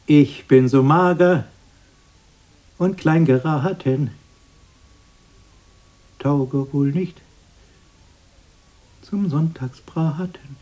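A man's voice: a little high, very slow, pauses often, a little mellow. An actor playing a defensive, dismissive grown brother recalling his childhood in a radio drama. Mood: singsong.

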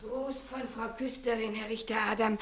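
An actress playing a plain-spoken, cheeky village maidservant in a 1960s German radio comedy. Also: female voice, on the high side, fairly even intonation, normal speed, slightly rough. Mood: reporting. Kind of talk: 1960s German radio play acting, classical stage comedy.

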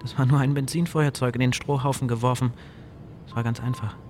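An actor in a German radio drama playing an excitable, guilt-ridden fire-setter. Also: male voice, mid-range voice, a little fast, faint music behind, lightly acted. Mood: confessing.

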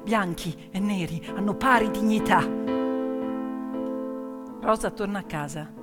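A woman performing a dramatic theatrical narrative, with emphatic, deliberate phrasing in short sentences. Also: medium pitch. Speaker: stage storyteller and actress. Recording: long reverberant hall, piano music behind. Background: piano accompaniment.

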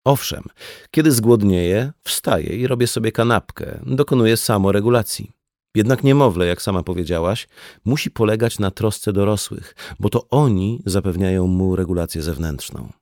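A man's voice: fairly low pitch, normal speed, a little mellow, no echo, clean studio sound. A man reading a conversational non-fiction audiobook as scripted narration. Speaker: audiobook reader.

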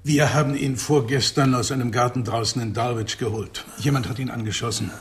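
An actor playing a matter-of-fact veterinary surgeon in a radio drama, speaking precise in a sober, clinical manner. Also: male voice, medium pitch, fairly even intonation, a little fast, a little hiss or hum, close to an everyday voice. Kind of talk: German radio drama acting.

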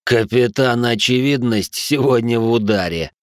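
A man voicing a gruff suspicious cop, blunt and bossy. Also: medium pitch, moderate pace, dry recording, studio quality, voice pushed up, close to an everyday voice. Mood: mocking. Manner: blunt, rude, commanding.

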